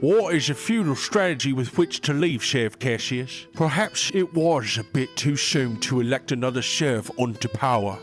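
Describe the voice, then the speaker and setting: Old wise male advisor played by a man, formal and measured, fantasy radio drama, faint guitar music behind. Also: medium pitch, expressive, lightly acted. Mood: alarmed. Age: older. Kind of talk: fantasy audio drama acting.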